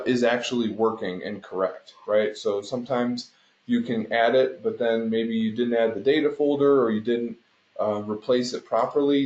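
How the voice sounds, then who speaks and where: A man instructing an online class, walking students through steps informally and explanatorily, often checking in with a quick "right?". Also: medium pitch, a little mellow. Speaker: instructor teaching an online game design class. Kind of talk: informal screen-share walkthrough to students. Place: indoor room, online conference call. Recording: roomy, dull conference call audio.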